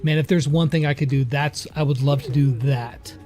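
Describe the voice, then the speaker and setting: A man speaking casually as the host and interviewer in a relaxed chat. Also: a little high, normal speed, soft background music.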